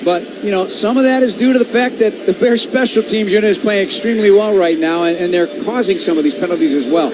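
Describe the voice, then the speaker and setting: An American man calling a football game live on radio in an excitable, punchy manner. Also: high voice, fast talker, hardly any pauses. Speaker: play-by-play radio announcer. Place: stadium broadcast booth. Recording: loud stadium noise behind. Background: stadium ambience.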